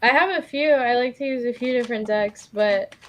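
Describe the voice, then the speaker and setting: A woman's voice: fairly high pitch, brisk, slight background noise. Woman giving a casual tarot reading. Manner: informal, chatty, hesitant phrasing with frequent "like". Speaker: guest tarot reader.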